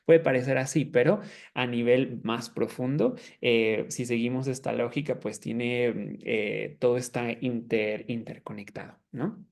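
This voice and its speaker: A man speaking as a guest expert, explaining in a conversational way, haltingly, repeating words as he searches for the next one. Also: mid-range voice, fairly even intonation, vocal fry, a little mellow. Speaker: guest astrologer.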